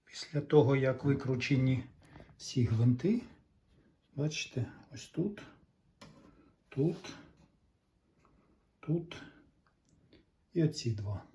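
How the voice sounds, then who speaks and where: A man giving a casual hands-on repair walkthrough, halting and thinking aloud in short phrases. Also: mid-range voice, lively intonation, warm tone, a little hiss or hum. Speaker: hobbyist repairer giving a demonstration.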